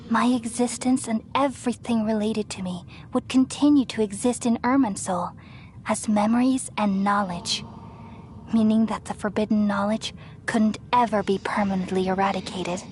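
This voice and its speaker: A woman voicing an ancient, motherly goddess of wisdom who speaks calmly and soothingly in a game cutscene. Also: fairly high pitch, a little hiss or hum, natural voice. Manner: calm, measured and soothing. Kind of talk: video game cutscene dialogue. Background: game score music.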